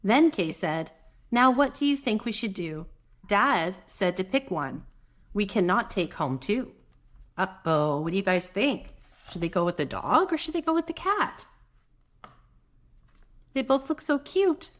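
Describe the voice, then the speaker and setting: Woman, children's read-aloud host. Soft, gentle, mid-pitched voice with very animated intonation, chatting with small listeners between lines. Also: unhurried, long pauses. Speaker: mother. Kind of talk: picture-book read-aloud for preschoolers with conversational asides.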